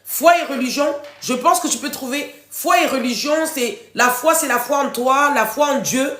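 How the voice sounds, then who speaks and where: High-voiced congested woman coaching in an echoey room. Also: moderate pace, a bit bright. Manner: informal, affectionate address to listeners, encouraging. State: congested, runny nose from a cold. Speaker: live workshop host, life coach. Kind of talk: casual interactive coaching livestream, reading out participants' answers. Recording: roomy, echoing room sound.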